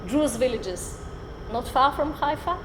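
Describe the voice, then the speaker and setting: A woman's voice: high voice, measured pace. Israeli lady talking to a class, lots of uhs, far off camera, noisy roomy hall. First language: Hebrew. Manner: animated, gestures a lot, many "uh" fillers. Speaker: college lecturer. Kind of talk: spontaneous guest lecture. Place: lecture room. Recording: roomy hall with background noise.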